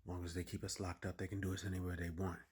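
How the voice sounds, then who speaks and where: An actor playing a weary, aggrieved prison inmate in a dramatic monologue, muttering in terse street vernacular. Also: male voice, low-pitched, completely flat delivery, very fast, a little mellow, husky. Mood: weary, resentful.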